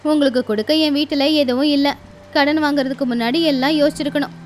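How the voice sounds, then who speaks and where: A woman's voice: sits high, steady tone, fast, slight background noise, lightly acted. A woman voicing a curt, unwelcoming female pigeon neighbour in a cartoon moral story. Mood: curt, annoyed.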